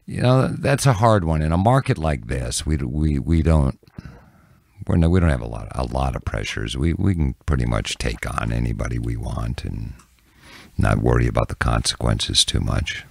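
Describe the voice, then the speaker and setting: An older man in his sixties with an extremely deep voice that crackles with vocal fry. He talks candidly and haltingly, as in a relaxed interview. The sound is dry and close to the microphone. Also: no echo. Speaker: news anchor and reporter, podcast guest. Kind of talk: casual reflective interview answers. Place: small dim indoor podcast room. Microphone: close studio microphone on a boom.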